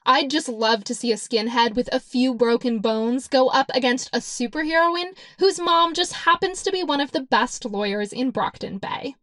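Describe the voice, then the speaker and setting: A woman's voice: high voice, moderate pace, hardly any pauses, a bit bright, no room sound, clean studio sound. A woman voicing a cocky young superheroine in an audiobook, brash and taunting as she interrogates. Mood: taunting, threatening. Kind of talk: audiobook character acting.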